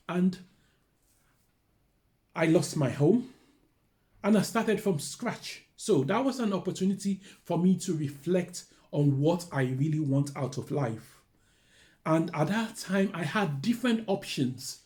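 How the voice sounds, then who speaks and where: A man's voice: fairly high pitch, dark tone. A middle-aged man with a Nigerian accent, a careers adviser earnestly telling his own career story and giving advice. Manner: earnest, candid, repeats phrases like "to be honest".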